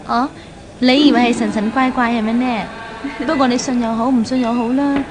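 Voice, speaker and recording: A woman's voice: fairly high pitch, fairly even intonation, fairly quick, loud music behind the voice, close to an everyday voice. An actress in a 1970s radio drama playing an easygoing, encouraging female companion with a chatty, persuasive manner.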